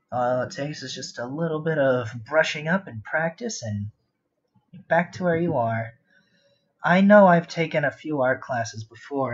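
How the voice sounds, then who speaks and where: A young man rambling casually and self-deprecatingly on a livestream while he draws. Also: medium pitch. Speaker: art livestreamer. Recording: muffled home mic with background noise. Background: background noise, laptop cooling fan.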